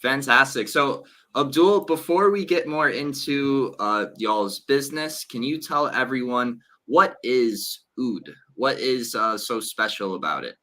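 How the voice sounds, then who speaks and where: Cheery guy hosting a video call interview, bright forceful tone. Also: medium pitch, a little slow, few pauses. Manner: upbeat, enthusiastic. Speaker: interview host.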